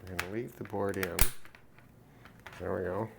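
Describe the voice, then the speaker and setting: A man giving casual, unscripted commentary on a hands-on repair, halting and musing to himself as he works. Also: sits low, steady tone. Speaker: host of a hobby radio repair video. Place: at a desk, indoors. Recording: clear background noise, handling clicks.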